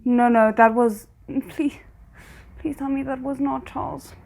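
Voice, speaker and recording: A woman's voice: high voice, fairly even intonation, unhurried, vocal fry, darkish tone, a little hiss or hum. A woman hosting a reaction video, reacting emotionally and then talking through the episode thoughtfully. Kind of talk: casual reaction commentary.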